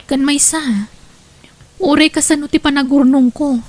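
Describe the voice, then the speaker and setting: Woman playing a high-voiced young kept woman. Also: little pitch movement, a little slow, darkish tone, no room sound. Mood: defensive. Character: young pragmatic kept woman, mistress of a married man. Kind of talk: Ilocano radio drama acting.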